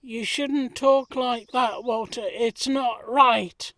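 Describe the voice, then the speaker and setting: A woman's voice: on the high side, steady tone, slow, fairly bright tone, no room sound. A woman playing a sensitive, earnest young male gardener in an audio play. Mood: hurt.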